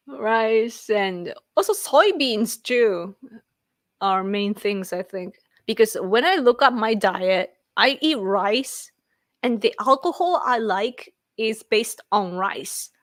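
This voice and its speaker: A woman's voice: on the high side, expressive, slow pace, pauses often, no echo, clean studio sound. A Japanese woman in her late thirties with a Japanese accent, chatting casually on a live stream and laughing often as she answers viewers. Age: late 30s. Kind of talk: casual chat.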